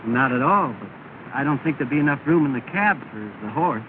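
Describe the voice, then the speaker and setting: A man's voice: mid-range voice, animated, fairly quick, natural voice. An actor playing a polite, good-natured young man, courteous and mildly witty, in 1930 film acting. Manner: courteous, mild, dryly humorous. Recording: scratchy, tinny 1930 film soundtrack with hiss.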